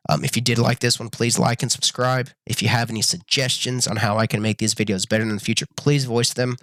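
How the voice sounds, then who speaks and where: A young man reviewing a show to camera in a casual, earnest way, full of ums and restarts, his voice a little raspy from being sick. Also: medium pitch, fairly even intonation, quick pace, a bit bright, no echo, clean studio sound. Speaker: YouTube reviewer.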